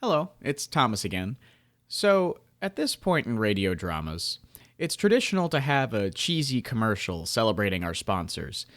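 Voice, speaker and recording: A lively young male narrator. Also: fairly low pitch, moderate pace. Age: young adult. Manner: storytelling, lively, lightly humorous aside. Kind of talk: radio drama narration and club announcement.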